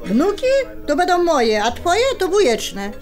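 Shrill-pitched, scolding highlander matriarch. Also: female voice, very animated, measured pace, music in the background. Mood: indignant, sarcastic. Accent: Polish highlander. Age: older. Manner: scolding, blunt. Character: sharp-tongued, pious, bickering highlander mother-in-law. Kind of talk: radio drama acting.

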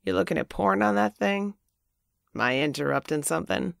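Woman acting gravelly, low-voiced brash lady boss. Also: expressive, fairly quick, no room sound, clean studio sound. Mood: smirking, teasing. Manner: blunt, teasing, casual. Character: gruff, smirking middle-aged female garage boss, teasing. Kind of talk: audio drama acting.

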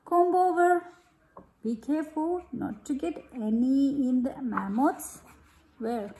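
Woman, high voice, unhurried and expressive storybook reader for young children, roomy home recording. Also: background noise. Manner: deliberate, expressive, prompting the child with questions. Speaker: picture-book reader to a child. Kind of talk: storybook read-aloud with chat to a child. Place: room at home, at a glass table. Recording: echoey room.

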